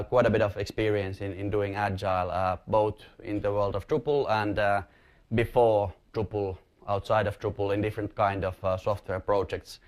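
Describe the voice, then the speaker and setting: A man from Finland with a Finnish accent, giving an informal conference presentation in an explanatory, somewhat hesitant way. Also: fairly low pitch. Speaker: company chief executive giving a talk.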